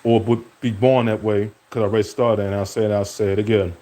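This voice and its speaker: A man's voice: flat delivery, quick pace, warm tone. A young man streaming, rambling from topic to topic in casual talk and speaking to an absent rival. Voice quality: deep voice.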